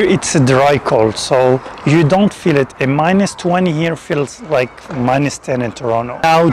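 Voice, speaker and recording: A man's voice: fairly high pitch, a little hiss or hum. A man from Tunisia in his thirties, giving a conversational to-camera explainer outdoors on a lapel mic, speaking English with small grammar slips. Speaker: immigration vlogger. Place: outdoors in a city park.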